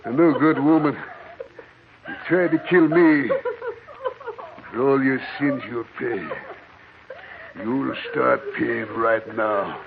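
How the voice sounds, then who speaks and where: An actor playing a jealous, vengeful old American desert prospector with a rustic Western drawl in a radio horror play. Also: male voice, mid-range voice, takes it slowly, vocal fry, pauses often. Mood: menacing, vengeful. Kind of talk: 1940s radio horror acting. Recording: scratchy old radio recording, muffled, crackly.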